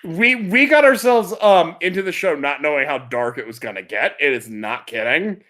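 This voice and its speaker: Animated, lively man chatting on a podcast. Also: on the high side, normal speed, a loud crowd. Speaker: podcast host. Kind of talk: casual chat about anime.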